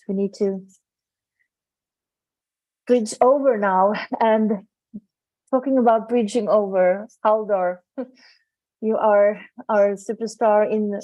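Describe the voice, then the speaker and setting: A woman moderating an online gathering over a video call, speaking in a halting way as she searches for words. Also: mid-range voice, dark tone, no echo, rough recording.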